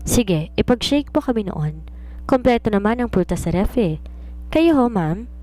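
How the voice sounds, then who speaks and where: A woman's voice: medium pitch, animated, normal speed, warm tone, voice pushed up, close to an everyday voice. A woman with a Filipino accent reading a polite, eager young woman posing as a housemaid in a storybook. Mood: polite, attentive. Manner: deferential, courteous.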